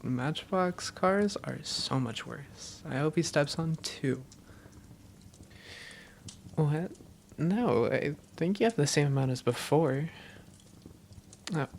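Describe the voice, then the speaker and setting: A woman's voice: sits low, darkish tone, a little hiss or hum. A woman playing an affectionate, teasing young vampire girlfriend in an intimate roleplay audio, playful and tender with her partner. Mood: amused, then tender, reassuring.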